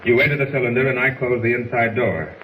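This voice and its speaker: Man acting a forceful, grave older submarine commander. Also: medium pitch, quick pace, close to an everyday voice. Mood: resigned, grave. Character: stern older male submarine commander, anguished, honourable. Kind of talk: 1940s radio drama acting. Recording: noisy, roomy 1940s radio recording.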